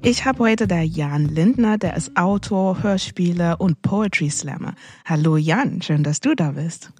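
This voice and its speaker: A woman's voice: lowish voice, measured pace, darkish tone, breathy edge, no echo. Woman hosting a conversational interview, dry sound. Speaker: podcast host.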